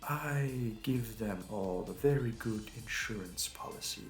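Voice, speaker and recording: A man playing a suave, smug supervillain in an audio roleplay, with a mocking, flirty, theatrical delivery. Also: lowish voice. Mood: measured, coy. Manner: theatrical, taunting, drawn-out delivery. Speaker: voice actor. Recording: roomy echo, distant mic, music behind.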